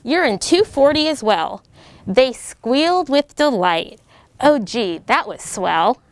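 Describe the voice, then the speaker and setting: Lively American woman reading a storybook aloud. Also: on the high side, slow. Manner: lively, animated reading with voiced character lines. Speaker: military officer reading to children.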